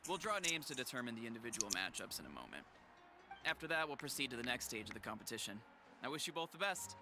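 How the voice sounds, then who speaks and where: A formal tournament referee in an anime game, courteous and composed. His mid-pitched voice moves in lively inflections, with music clearly behind. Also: fast. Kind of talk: anime-style video game acting.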